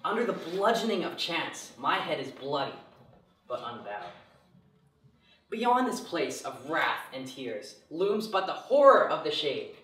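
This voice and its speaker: A man reciting poetry in a measured, declamatory way. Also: on the high side, big pitch swings, fairly bright tone. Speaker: poetry reader. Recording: echoey room, distant mic.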